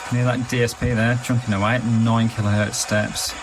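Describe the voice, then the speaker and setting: A man's voice: mid-range voice, little pitch movement, moderate pace, background noise. A man giving a casual, chatty and matter-of-fact hands-on review of a gadget. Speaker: product reviewer.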